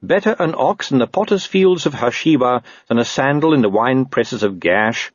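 A man's voice: mid-range voice, animated, normal speed, no room sound, highs cut off, pitched above the performer's own voice, natural voice. A man voicing a pious, mild and helpful constable with an earnest manner in a fantasy audiobook.

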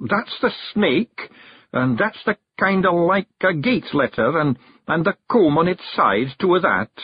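A man voicing a fierce, bossy little male fairy clan chief with a gruff, high Scottish brogue. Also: no room sound, dull audio.

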